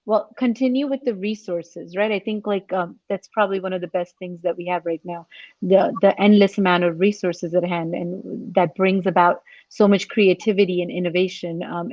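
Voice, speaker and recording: A woman's voice: fairly low pitch, no room sound. A woman speaking unscripted on a podcast panel, thinking aloud a little tentatively. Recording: slightly dull, dry call audio.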